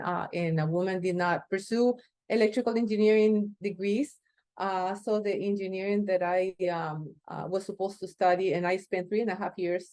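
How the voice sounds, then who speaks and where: Woman in her fifties, Costa Rican accent, lowish voice, halting with fillers, dry close sound. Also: warm tone, dry recording. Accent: Costa Rican Spanish. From Costa Rica. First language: Spanish. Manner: halting, many fillers and restarts. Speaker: interview guest, space agency executive. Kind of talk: casual interview answers.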